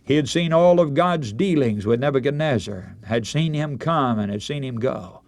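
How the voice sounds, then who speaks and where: A man preaching a radio sermon, telling a Bible story in solemn, deliberate oratory with dramatic emphasis. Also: medium pitch, expressive, gravelly, a little mellow, no room sound, clean studio sound.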